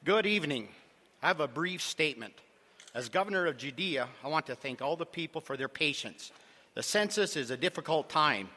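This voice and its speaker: An actor playing a pompous, evasive Roman governor giving curt official statements in a live radio drama. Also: male voice, fairly high pitch, lively intonation, a little fast, pushed and bright. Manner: stiff, dismissive official statements. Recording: live stage recording in a hall with faint hiss.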